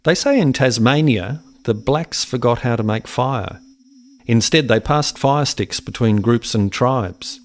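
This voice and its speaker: An actor playing a haunted settler who recalls his lost brother in deliberate, poetic radio-play narration. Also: mid-range voice, slight background noise, natural voice. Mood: brooding, haunted.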